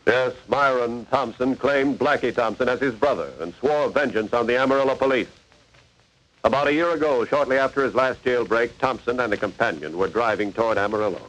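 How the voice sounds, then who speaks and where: An actor playing the grave, urgent narrator of a 1940s radio crime drama, in formal scripted delivery. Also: male voice, mid-range voice, a little fast, fairly bright tone, faint music behind, natural voice, rough recording. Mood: grave, dramatic. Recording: old radio broadcast recording.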